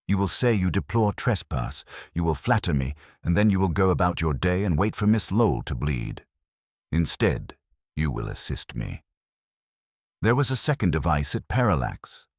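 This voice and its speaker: A man voicing an imperious master detective in an audiobook, formal, pompous and deliberate. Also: a bass voice, slightly rough, dry recording, studio quality, heavily acted. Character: imperious, verbose male master detective, cool and commanding.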